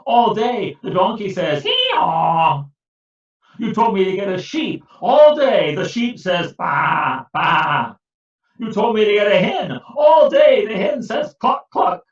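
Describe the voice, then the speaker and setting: A man's voice: fairly high pitch, lively intonation, extremely slow, fairly bright tone, heavily acted. A man voicing a fretful grown villager who is fed up with the noise in his house and complains in a raised, wailing voice. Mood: exasperated. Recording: echoey, distant, dull sound.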